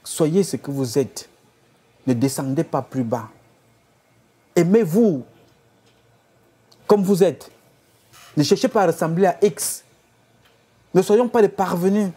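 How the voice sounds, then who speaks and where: A man's voice: on the high side, slow pace, pauses often, dark tone, husky. A man from Cameroon, an activist leader giving an impassioned address to his followers, full of repeated exhortations. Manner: exhorting, repetitive rhetorical appeals.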